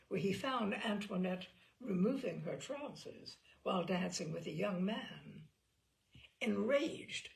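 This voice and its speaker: A woman's voice: medium pitch, gravelly, low-quality recording. A woman reading a story aloud with dry, wry storytelling. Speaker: reader of stories.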